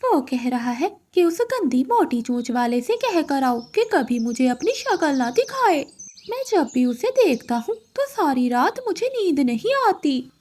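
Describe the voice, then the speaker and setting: A sly female crow from an animated fable, voiced by a woman. The voice sits high and swoops expressively as it goads and gossips with dramatic relish. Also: measured pace. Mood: sly, goading. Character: scheming, gossiping female crow stirring up quarrels. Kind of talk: Hindi animated moral story dialogue.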